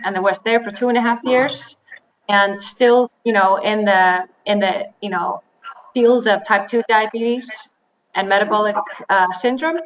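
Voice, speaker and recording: A woman, answering interview questions in English with many pauses, fillers and restarts. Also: medium pitch. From Iceland. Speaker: interview guest, biotech executive. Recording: slightly dull, faint noise.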